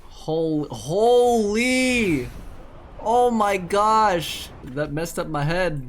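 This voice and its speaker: A man's voice: high-pitched, animated, very slow. A young man giving casual, reactive playthrough commentary as a gaming streamer, full of short exclamations. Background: game music.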